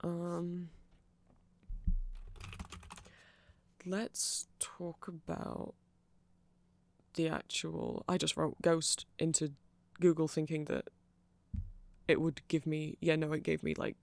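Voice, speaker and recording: A man voicing a nerdy, friendly vampire in a casual ASMR roleplay, soft-spoken and rambling haltingly close to the microphone. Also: on the high side, level tone, creaky, no echo. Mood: rambling, unsure. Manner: halting, self-deprecating rambling with small laughs. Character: nerdy, hesitant, friendly male vampire music fan. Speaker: ASMR audio roleplay performer.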